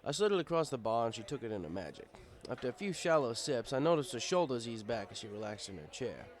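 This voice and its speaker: A man's voice: mid-range voice, big pitch swings, fast talker. A man reading a first-person story as scripted narration, in a wry, conversational manner. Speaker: story reader.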